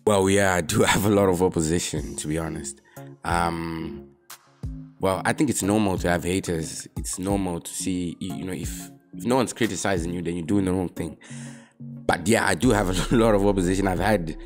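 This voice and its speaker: A young man from Zimbabwe, a rapper answering questions about himself in relaxed, casual talk. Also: low-pitched, moderate pace, faint music behind. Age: young adult. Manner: relaxed, laid-back, drops in "man" often.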